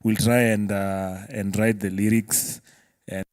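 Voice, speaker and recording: A man's voice: on the low side, level tone, slow, a little mellow, dry recording, studio quality. A man with a Kenyan accent explaining as a guest in a casual discussion, step by step, often checking that listeners follow. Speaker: music producer guest.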